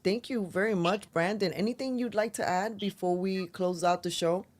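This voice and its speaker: A woman's voice: mid-range voice, moderate pace, a little mellow. Chatty woman hosting a livestream. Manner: chatty, laughs easily, trails into fillers. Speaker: podcast host. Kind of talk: casual livestream chat.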